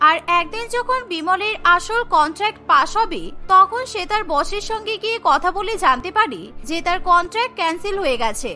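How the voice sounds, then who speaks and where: A woman's voice: very high-pitched, expressive, a little fast, hardly any pauses, bright, forceful tone, faint music behind. A woman reading scripted narration for a cartoon story.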